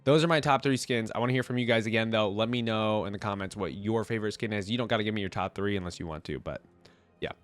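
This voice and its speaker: Congested, low-voiced man reacting casually. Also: quick pace, dry recording, faint music behind. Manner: informal, slangy, thinking aloud. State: congested from a cold. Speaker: video game reaction host. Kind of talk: casual off-the-cuff reaction commentary.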